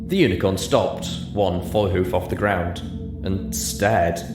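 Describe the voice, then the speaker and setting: Low guy narrating a story, dramatic, roomy echo, music going behind him. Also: steady tone, measured pace. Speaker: story reader.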